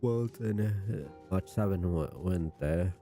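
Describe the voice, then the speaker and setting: A woman's voice: very deep voice, slow pace, music in the background. A woman streaming a game with casual commentary, laid-back, terse and hesitant.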